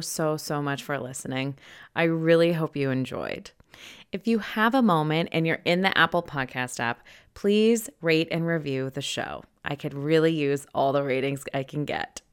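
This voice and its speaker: A woman's voice: deep voice, a little slow, smooth. A woman hosting a podcast interview, gushing and upbeat, then reading her sign-off. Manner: gushing, upbeat, says "so good" often. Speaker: podcast host.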